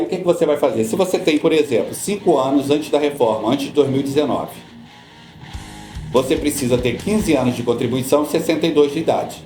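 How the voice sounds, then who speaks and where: A middle-aged Brazilian man, a guest on a podcast, who explains pension rules patiently and often repeats his points to calm the listener. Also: on the high side, reverberant. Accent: Brazilian Portuguese. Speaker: social security law guest.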